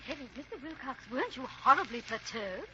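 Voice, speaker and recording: A woman's voice: sits high, big pitch swings, quick pace, pushed and bright, full character voice. A woman playing a genteel, teasing lady party guest in an old radio comedy. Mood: excited. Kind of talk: 1940s radio comedy acting. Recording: muffled, very noisy old radio recording.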